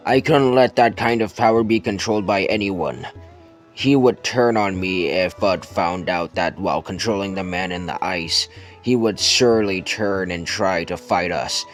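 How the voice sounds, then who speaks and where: A man's voice: fairly low pitch, close to an everyday voice. A man voicing a power-hungry, threatening villain boss with a commanding manner in an amateur cartoon dub.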